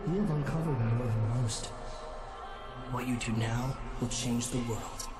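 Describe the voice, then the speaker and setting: An actor playing an enigmatic, detached god in a video game, delivering cryptic lines in a measured, solemn way. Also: male voice, mid-range voice, close to an everyday voice. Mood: ominous. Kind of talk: dramatic game cutscene monologue. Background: very loud music.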